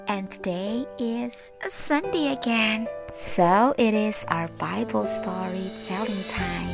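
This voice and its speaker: A female teacher tells a children's Bible story at a very unhurried pace. She speaks straight to young listeners in deliberate phrases, over faint music. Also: medium pitch.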